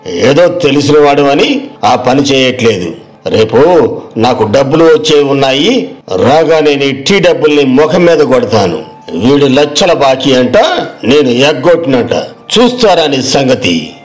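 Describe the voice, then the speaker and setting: A man's voice: medium pitch, very animated, a little slow. A man playing a boastful, haughty village customer who blusters, in an acted moral story. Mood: threatening, scornful.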